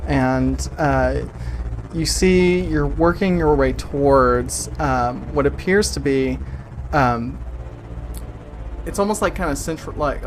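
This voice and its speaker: A man narrating a tabletop game as game master in a halting, conversational improvised way. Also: mid-range voice.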